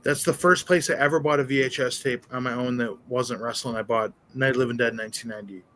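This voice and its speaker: A man's voice: mid-range voice, steady tone, quick pace, a bit bright. A man on a podcast, talking loosely and swearing, calling others dude and bro. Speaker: podcast co-host. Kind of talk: casual podcast chat.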